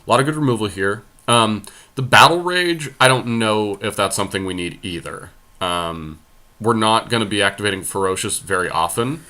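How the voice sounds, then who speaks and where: A man's voice: fairly low pitch, moderate pace, pushed and bright. A man casually commentating as he builds a card deck, thinking aloud and making decisions as he goes. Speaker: podcast host playing a card game.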